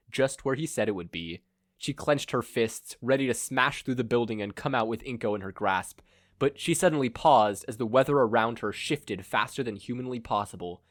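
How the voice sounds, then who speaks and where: A man's voice: medium pitch, dry recording, studio quality. A man narrating a scripted story as the reader of an amateur audio fiction. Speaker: podfic narrator.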